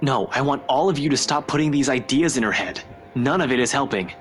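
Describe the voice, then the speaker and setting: An actor playing a gruff, skeptical male detective in a game, blunt and impatient, swearing freely. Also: medium pitch, expressive, brisk, soft background music, lightly acted. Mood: weary. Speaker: police detective.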